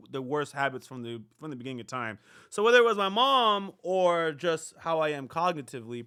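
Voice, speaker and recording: Bright-voiced chatty man. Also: medium pitch. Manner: rambling, self-deprecating, conversational with many fillers. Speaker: reaction channel host. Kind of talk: casual talk to camera.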